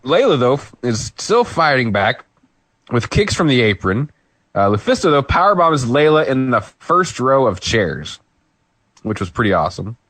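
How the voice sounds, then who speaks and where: A man's voice: mid-range voice, very animated, normal speed, a bit bright, no echo, rough recording. A man co-hosting a podcast, recapping a wrestling match in a casual, rambling play-by-play with asides. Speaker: podcast co-host. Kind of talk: casual wrestling review chat.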